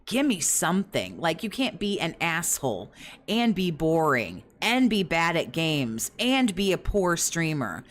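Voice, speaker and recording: A woman giving reaction commentary in a fairly monotone, rambling way, critical and swearing often. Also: sits low, measured pace.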